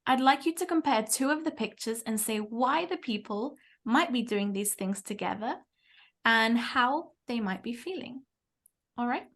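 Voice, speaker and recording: Upbeat bright-voiced woman teacher. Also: a little high, expressive, unhurried. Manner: upbeat, clear and encouraging. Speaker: English teacher and examiner. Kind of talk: mock speaking exam, teacherly.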